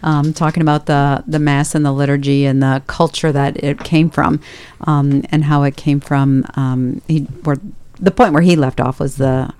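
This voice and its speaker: A woman's voice: low-pitched, flat delivery, normal speed, a little mellow, clear, resonant tone. A woman co-hosting a studio talk show, speaking hesitantly with many fillers. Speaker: co-host. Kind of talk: studio podcast conversation. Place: radio studio.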